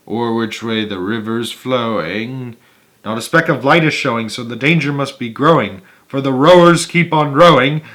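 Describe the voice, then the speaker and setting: A man voicing an eccentric, excitable male chocolate maker who exclaims and chants in a sing-song way. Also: fairly high pitch.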